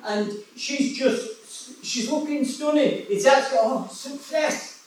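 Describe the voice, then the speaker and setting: A man's voice: very high-pitched, slow, far from the mic, a little hiss or hum. Yorkshire man preaching in an echoey hall. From West Yorkshire, England. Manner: conversational, storytelling, many restarts and repetitions. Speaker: evangelist preacher. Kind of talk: sermon.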